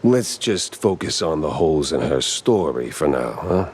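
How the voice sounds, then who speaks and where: A man's voice: extremely low pitch, big pitch swings, measured pace, darkish tone, a little hiss or hum, exaggerated voice. A man voicing a wry, world-weary mouse private detective in a noir video game, with a hard-boiled delivery. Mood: dry, probing. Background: music.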